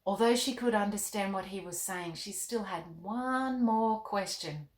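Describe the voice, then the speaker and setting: A woman hosting a children's storytime and reading aloud, expressive and speaking directly to young listeners. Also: medium pitch, measured pace. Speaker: children's show host and author reading her book. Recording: roomy, echoing indoor sound.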